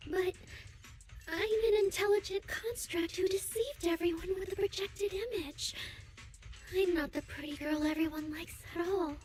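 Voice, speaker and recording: A woman's voice: very high-pitched, moderate pace, darkish tone. A woman voicing a sweet, insecure young android idol girl in a video game, with a hesitant manner. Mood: downcast.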